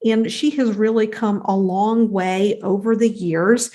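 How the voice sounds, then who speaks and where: A woman hosts an interview podcast, introducing her guest and then handing over with open questions. She talks in a relaxed conversational way at a middle pitch. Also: a little slow. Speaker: podcast host. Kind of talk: interview hosting.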